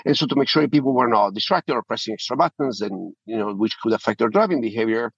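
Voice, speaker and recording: Husky Italian-accented man, lively interview guest. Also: mid-range voice, fast, dry recording, muffled recording. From Italy. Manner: animated, repeats words when restarting. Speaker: podcast guest, company founder. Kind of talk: interview conversation.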